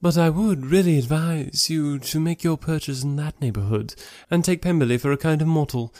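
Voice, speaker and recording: A man's voice: a little high, moderate pace, warm tone, no room sound, clean studio sound, voice pushed up, natural voice. A man voicing a haughty, catty young society lady in an audiobook, with an affected, snobbish and emphatic delivery. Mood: arch, sneering. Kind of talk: classic novel audiobook character voice.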